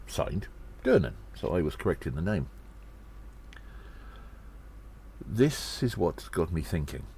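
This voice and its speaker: A man playing a self-important, cheeky gnome undercover agent, delivering a deliberate and theatrical audio drama monologue. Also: sits low, very animated, vocal fry, darkish tone, breathy edge, exaggerated voice. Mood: reading gravely, then musing, wry.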